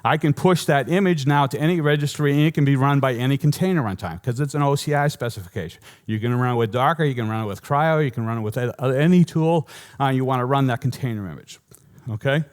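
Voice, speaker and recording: Joking tech speaker with a Boston accent. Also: male voice, mid-range voice, fast talker. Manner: lively, joking, rambling with self-corrections. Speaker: conference speaker. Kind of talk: technical conference talk. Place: conference hall before an audience.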